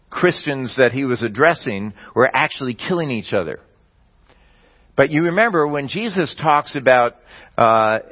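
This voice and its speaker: Male preacher, mid pitch, measured teaching sermon, slightly dull lo-fi capture. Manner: measured teaching delivery with occasional slips and restarts.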